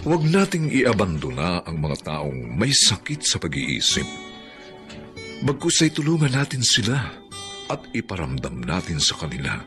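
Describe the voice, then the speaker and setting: Deep, dramatic Filipino male narrator. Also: wildly swooping pitch, music in the background. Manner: dramatic, deliberate. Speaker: radio drama narrator and host. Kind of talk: solemn scripted radio narration.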